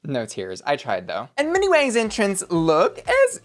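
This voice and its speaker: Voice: mid-range voice, wildly swooping pitch, normal speed. A video host delivering scripted review commentary with campy, theatrical emphasis.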